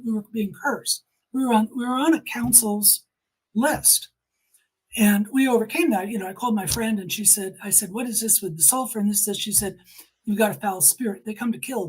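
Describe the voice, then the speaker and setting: A woman's voice: mid-range voice, husky, rough recording. A middle-aged woman giving earnest, emphatic personal testimony in conversation, quoting people as she tells her story.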